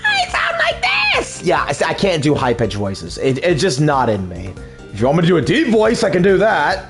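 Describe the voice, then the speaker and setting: A deep-voiced man chatting on a live stream, rambling and self-mocking, his pitch swinging from low mumbles to sudden high yelps. Faint music plays behind him. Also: wildly swooping pitch, moderate pace. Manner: jokey, laughing, rambling asides. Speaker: streamer and fan dubber. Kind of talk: casual live-stream chat.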